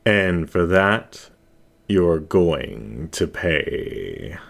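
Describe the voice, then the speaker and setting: A man voicing an unseen avenger from the shadows, menacing and taunting in a slow, drawn-out manner. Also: deep voice, big pitch swings, vocal fry, fairly bright tone, full character voice. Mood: accusing.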